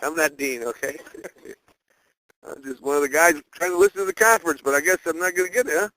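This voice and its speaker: A man's voice: fairly high pitch, a little fast, sharp tone, dry recording. A man calling in on a phone line, chatting casually in an informal, questioning way. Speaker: caller waiting to listen in. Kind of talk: casual chat. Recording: conference phone call.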